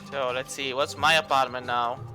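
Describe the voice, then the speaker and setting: A man's voice: medium pitch, steady tone, moderate pace, pushed and bright. A man streaming a video game, commenting playfully and reading his character's lines in a theatrical way. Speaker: gaming streamer. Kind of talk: let's play commentary. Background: game music.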